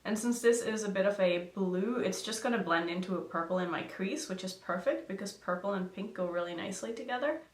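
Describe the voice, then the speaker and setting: A woman's voice: medium pitch, fairly quick, hardly any pauses. A young woman from Norway, a native Norwegian speaker, chatting casually and giggling as she thinks aloud through a makeup tutorial. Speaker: makeup vlogger. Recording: echoey room.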